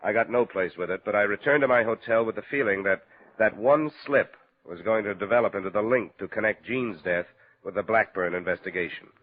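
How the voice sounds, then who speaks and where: Man playing hard-boiled American investigator, lowish voice, clipped and probing, old radio drama, narrow muffled sound. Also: close to an everyday voice. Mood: matter-of-fact narration. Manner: measured, clipped, probing questions. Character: male insurance investigator, cool, probing hard-boiled detective narrating his case. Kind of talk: 1950s American radio detective drama acting.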